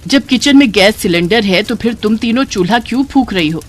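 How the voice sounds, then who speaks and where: A woman's voice: fairly high pitch, animated, a little fast. Woman acting middle-aged mother-in-law, family matriarch, chiding, fond, exasperated, moral-story character. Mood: gently chiding.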